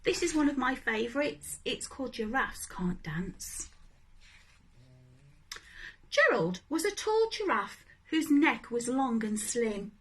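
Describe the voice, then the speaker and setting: A woman reading a children's story aloud in an animated, deliberate manner, addressing young listeners. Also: high voice, slightly rough. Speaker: storybook reader.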